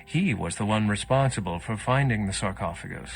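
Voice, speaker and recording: An actor playing a wry, worldly old vampire scholar in a video game, speaking in an erudite, measured and sardonic way. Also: male voice, on the low side, lively intonation, vocal fry, rough recording. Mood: matter-of-fact.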